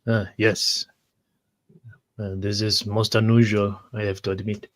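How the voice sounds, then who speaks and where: Man playing a flat, very polite adventurer. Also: lowish voice, measured pace, close to an everyday voice. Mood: puzzled. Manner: formal, hesitant, courteous. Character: polite, deferential, well-mannered male adventurer.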